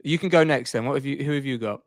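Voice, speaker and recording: A man's voice: medium pitch, fast talker, dry recording, clean studio sound. A man co-hosting a sports podcast in a casual, informal, conversational way.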